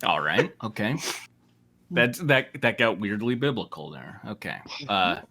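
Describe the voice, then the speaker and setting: Male reader, unhurried and animated, mid-range, joking asides during a comedic story reading. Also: on the low side, gravelly. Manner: joking, breaks off to comment on wording. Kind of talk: comedic read-aloud with casual banter.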